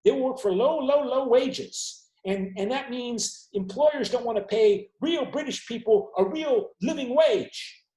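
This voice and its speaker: A man's voice: very high voice, measured pace, few pauses, dark tone, roomy sound, rough recording. A man, a university lecturer, explaining at length in an online class and often checking in with his students. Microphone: video call.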